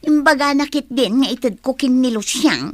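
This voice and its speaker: A woman's voice: high voice, lively intonation, moderate pace, dry recording. An actress playing a scheming young office woman who leans on her mother, in an Ilocano radio drama. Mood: whining.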